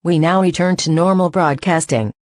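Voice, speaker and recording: A synthetic female voice playing an emergency management announcer, reading an official message in a formal, measured way. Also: on the low side, a little mellow, dry recording, clean studio sound, lightly acted. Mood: composed, official. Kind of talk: scripted emergency broadcast message.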